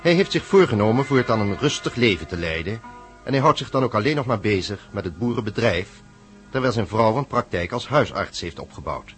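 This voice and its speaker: A man narrating a Dutch radio serial in a measured, serious scripted style. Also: lowish voice, animated, fairly bright tone. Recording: narration over prominent music.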